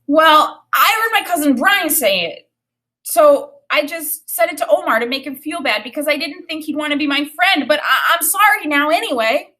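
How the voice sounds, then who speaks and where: A woman voicing a sheepish, apologetic young boy in a children's story, blurting out his words haltingly. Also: sits high, expressive, sharp tone, voice pushed up.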